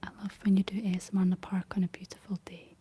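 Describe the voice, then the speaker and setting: A young Scottish woman speaking in a soft, breathy whisper, chatting casually and gently in an ASMR style. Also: mid-range voice, little pitch movement, racing. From Scotland. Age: young adult. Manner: gentle, rambling chat while painting. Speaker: ASMR streamer reading and answering live chat. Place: living room.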